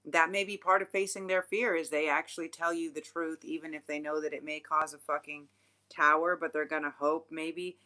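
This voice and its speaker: Female tarot reader, low and bright-toned, rambling, frank, swears casually. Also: flat delivery, normal speed. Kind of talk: casual livestream tarot reading.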